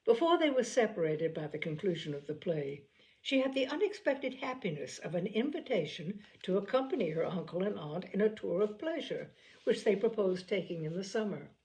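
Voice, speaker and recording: A woman narrating a classic novel in a measured, unhurried storytelling voice. Her pitch sits in an ordinary middle range, and she now and then stumbles on a word and corrects herself. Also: big pitch swings, darkish tone, poor audio. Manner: measured storytelling, occasional slips and self-corrections. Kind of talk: audiobook narration.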